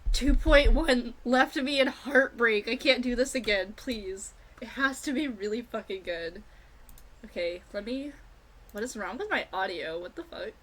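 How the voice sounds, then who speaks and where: A woman streaming a video game with casual, excitable, gushing and sweary live commentary. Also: a little high, measured pace. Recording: music behind the voice. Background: video game music.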